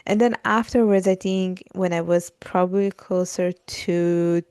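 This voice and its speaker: A woman's voice: lowish voice, fairly even intonation, a little slow, darkish tone, smooth, no room sound, studio quality. A woman in her thirties from Italy, chatting as a podcast guest with lots of fillers like "like" and "you know.". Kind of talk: casual conversational interview.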